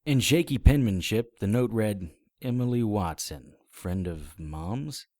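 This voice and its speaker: An actor playing a wry, calm, hard-boiled private investigator in a noir audio drama, speaking in a measured, deadpan way. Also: male voice, fairly low pitch, slight background noise. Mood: measured narration. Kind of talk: first-person noir audio drama narration. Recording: music behind the voice.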